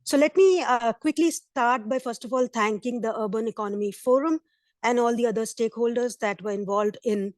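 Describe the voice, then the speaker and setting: A hoarse woman presenting at a virtual conference session, earnest, with fillers and restarts. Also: fairly high pitch, brisk, no echo. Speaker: invited speaker. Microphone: computer mic on video call.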